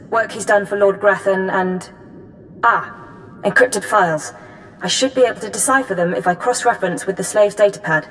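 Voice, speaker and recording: An actress playing a focused, efficient intelligence handler in a video game, measured and businesslike. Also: female voice, medium pitch, natural voice, low-quality recording. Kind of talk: video game cutscene acting. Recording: faint music underneath.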